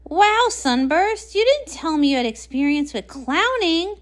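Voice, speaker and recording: A woman's voice: very high-pitched, sing-song, unhurried, full character voice. A woman voicing a bubbly, scatterbrained female party pony, bouncy and chatty, in a cartoon comic read-along. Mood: cheerful, bubbly.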